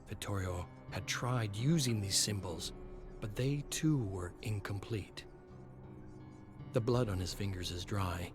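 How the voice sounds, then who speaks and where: A man narrating a scripted story dramatically, in a measured and solemn manner. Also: on the low side. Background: music.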